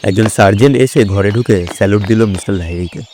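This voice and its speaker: A man's voice: lowish voice, quick pace, a little mellow, slight background noise. A man narrating a scripted detective story.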